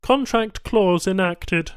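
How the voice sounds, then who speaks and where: Man voicing a high-pitched, terse alien technician. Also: takes it slowly, clean tone, no echo, clean studio sound. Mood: flat, insistent. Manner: terse, clipped, stilted broken phrasing; emphatic, matter-of-fact. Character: matter-of-fact alien technician speaking halting, clipped foreign syntax. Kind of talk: audiobook character voice, science fiction.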